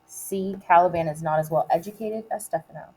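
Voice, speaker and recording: Mellow, lowish-voiced woman reading aloud deliberately. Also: husky, low-quality recording. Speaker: teacher reading a test aloud. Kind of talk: read-aloud of multiple-choice questions and quoted play lines.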